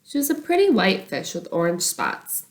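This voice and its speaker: A woman reading a children's chapter book aloud as an expressive narrator. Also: mid-range voice, moderate pace. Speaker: storybook reader.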